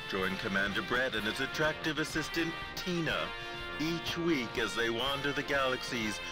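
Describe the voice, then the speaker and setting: Bombastic radio announcer played by a man over loud music. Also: a little high, close to an everyday voice. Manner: grandiose, theatrical salesman delivery. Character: bombastic male radio serial announcer selling soap. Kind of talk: old-time radio advertising announcing.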